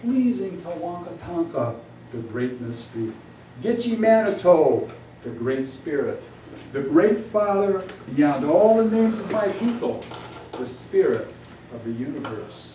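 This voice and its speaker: A man's voice: a little high, big pitch swings, measured pace, dark tone. A man voicing an ancient, noble Lakota medicine man in an epic poem, solemn, commanding and incantatory, in a room. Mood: solemn, prophetic. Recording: echoey room, distant mic, background noise.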